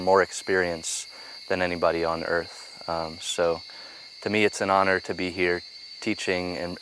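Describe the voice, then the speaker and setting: A man talks about his course in an earnest, measured way. His voice is very low and keeps a flat, even line. Steady outdoor noise sits clearly behind him. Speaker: permaculture co-instructor. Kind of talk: interview to camera.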